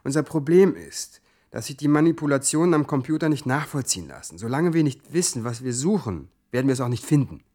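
An actor playing a brash, impatient young computer hacker in a TV drama. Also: male voice, mid-range voice, animated, a little fast, mellow, close to an everyday voice. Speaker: hacker helping a journalist. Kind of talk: scripted TV drama acting.

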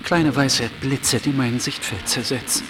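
An actor playing a nervous man hiding his panic behind a controlled, measured manner in a German radio drama. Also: mid-range voice, close to an everyday voice, rough recording. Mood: inner panic. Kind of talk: radio drama narration and dialogue. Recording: music under the voice.

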